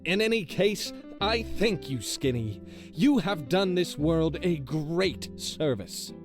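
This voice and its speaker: An actor playing a pompous, vain town protector in a video game, grandiose and condescending. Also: male voice, on the high side, expressive, takes it slowly. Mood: grandly ceremonial. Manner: grandiose, condescending, self-important. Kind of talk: video game character acting. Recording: music behind the voice.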